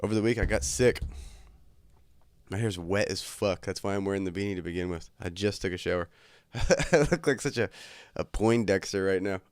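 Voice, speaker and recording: A young man talks to his livestream chat in a laid-back, joking way, crude and unhurried. His voice sits a little low and comes through smooth and clear, slightly congested from a cold. The sound is dry and close. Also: dry recording. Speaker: livestream host. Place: his bedroom at home.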